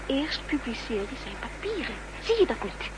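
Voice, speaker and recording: An actress playing a young, cautious, questioning fugitive spy in a 1960s radio play. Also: female voice, high-pitched, big pitch swings, moderate pace. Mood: insistent. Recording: noisy 1960s radio drama recording.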